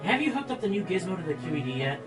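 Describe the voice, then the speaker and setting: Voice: medium pitch, very animated, racing, over music, lightly acted, rough recording. An actor playing a grumpy, sarcastic pony who manages a radio show, exasperated and sardonic, in a radio play. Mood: impatient. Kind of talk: amateur radio play acting.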